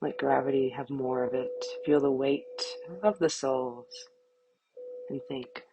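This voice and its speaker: Woman doing guided yoga nidra, really slow and low voice, calm and soothing, short phrases with gaps. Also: background noise. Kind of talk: guided relaxation meditation.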